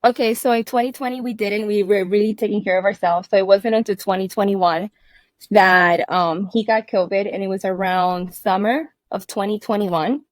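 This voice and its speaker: A woman's voice: medium pitch, fairly even intonation, normal speed. A woman from Cuba with a mid-range voice, talking casually as an interview guest from inside a cabin. She laughs easily and restarts sentences as she goes. The sound is dry and close, with little room echo. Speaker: guest, cabin rental owner. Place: inside a cabin under renovation.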